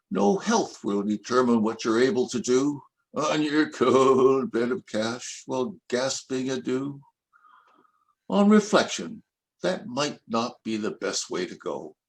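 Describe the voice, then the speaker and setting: An older man reading his own rhyming poems aloud in a measured, reflective recitation. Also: medium pitch. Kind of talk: poetry reading.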